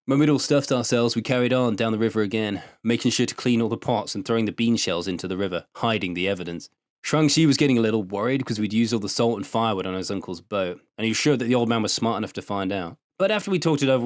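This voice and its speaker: A man's voice: lowish voice, animated, quick pace, no echo. Man narrating a story, dry close sound. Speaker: narrator and translator. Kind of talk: first-person short story narration.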